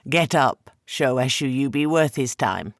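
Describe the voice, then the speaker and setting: Male narrator doing a loud, taunting male trickster spirit, halting overpronounced accent, dry close read. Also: medium pitch, lively intonation, no echo. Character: mischievous male trickster spirit, sneering, boastful.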